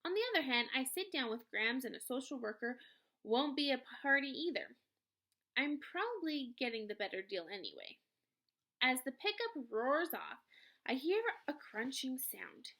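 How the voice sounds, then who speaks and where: A woman reads a children's novel aloud in a high voice. Her delivery is very lively and emphatic, with pitch that moves freely as she tells the story. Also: normal speed. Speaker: read-aloud reader, teacher.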